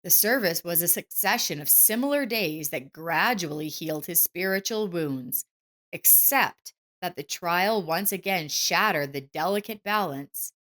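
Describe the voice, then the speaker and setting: A woman's voice: fairly low pitch, lively intonation, a little slow, fairly bright tone. A woman reading a scripted, dramatic story as its narrator.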